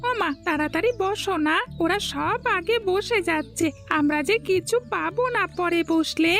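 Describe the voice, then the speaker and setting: Excited, very high-pitched little child. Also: female voice, moderate pace, faint music behind. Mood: impatient. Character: eager, playful little child in a village family. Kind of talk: cartoon voice acting.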